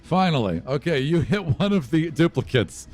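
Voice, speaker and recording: A man's voice: fairly high pitch, sing-song, normal speed, darkish tone, faint music behind. A man running a tabletop game as game master, chatting casually and narrating the action.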